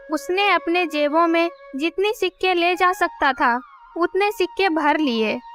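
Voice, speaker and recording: A woman's voice: very high voice, a bit bright. A woman narrating a scripted children's story as a storyteller. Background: music.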